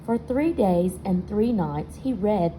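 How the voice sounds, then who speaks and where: Unhurried woman reading a children's storybook aloud. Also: medium pitch, a little hiss or hum, poor audio. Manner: expressive storytelling, pausing to ask listeners questions. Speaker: storybook reader. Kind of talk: children's picture book read-aloud with asides to young listeners.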